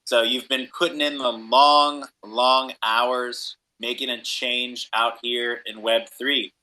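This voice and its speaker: A man with a very bright, punchy voice hosts a podcast. He is enthusiastic and upbeat, talking in a casual, slangy way as he addresses guests and listeners. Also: medium pitch, little pitch movement, slow pace, low-quality recording. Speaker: podcast host.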